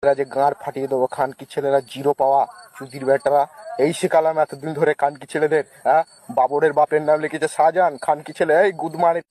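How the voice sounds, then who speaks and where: A man's voice: a little high, flat delivery, fast talker, darkish tone, lightly acted, rough recording. A man dubbing an irate, cursing schoolteacher who rants at pupils in a comic cartoon parody. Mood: furious. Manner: ranting, crude, cursing. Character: irate foul-mouthed male schoolteacher scolding his pupils. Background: music.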